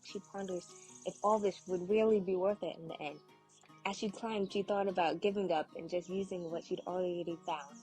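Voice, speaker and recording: A woman's voice: lowish voice, fairly even intonation, normal speed, darkish tone, rough recording. A woman reading a scripted fairy tale as a storyteller. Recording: faint music bed under voice.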